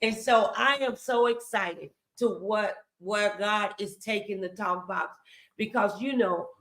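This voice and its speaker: Bright-voiced woman hosting motivational talk. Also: medium pitch, unhurried. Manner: emphatic, encouraging, addresses viewers directly. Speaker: talk show host.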